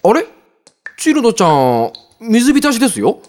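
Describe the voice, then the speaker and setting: A woman's voice: a little high, huge pitch swings, measured pace, a little mellow. A woman playing a chatty, bemused emcee hosting a comedy contest in an audio drama. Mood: wry, amused. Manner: wry, reacting, showy announcing. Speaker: host. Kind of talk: game-show style hosting in audio drama. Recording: voice over background music.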